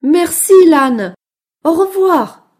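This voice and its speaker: A sweet little female mouse from a storybook, voiced by a woman, polite and wide-eyed. The voice sits very high and swoops in an exclamatory sing-song, always eager. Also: animated, very slow, darkish tone, full character voice. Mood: cheerful, polite.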